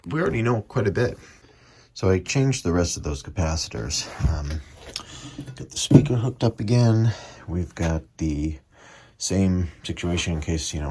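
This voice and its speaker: A man's voice: low voice, darkish tone. A man giving a casual, matter-of-fact running commentary as he demonstrates a repair at his workbench, thinking aloud in short phrases. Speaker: hobbyist radio restorer presenting his own repair. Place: small home workbench. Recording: clearly noisy workshop recording with clicks.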